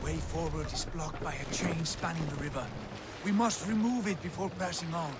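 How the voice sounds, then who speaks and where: An actor playing a wary, commanding Viking leader in a video game cutscene, measured and authoritative. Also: male voice, fairly high pitch, heavy background noise, natural voice. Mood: firm, low. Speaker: leader of a raiding crew. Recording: loud music and water behind dialogue. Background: game music, water, boat sounds.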